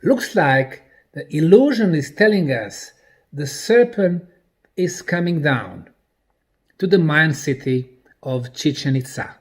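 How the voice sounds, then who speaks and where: Slow, animated male lecturer from Bosnia. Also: on the high side. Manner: deliberate, emphatic, speaking in short broken phrases. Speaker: presenter and researcher. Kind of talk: explanatory lecture presentation.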